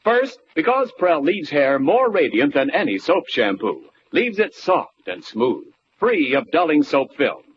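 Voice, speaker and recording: A man delivering a scripted sales pitch as an announcer in a vintage radio advertisement. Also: sing-song, unhurried. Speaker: commercial announcer. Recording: dull, faintly hissy old radio.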